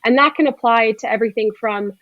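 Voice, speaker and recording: A woman's voice: a little high, fast, dry recording. Woman guest, thirties, articulate and explanatory, dull lo-fi sound. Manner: articulate, explanatory, frequent "you know". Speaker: guest, startup co-founder. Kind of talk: conversational interview answers.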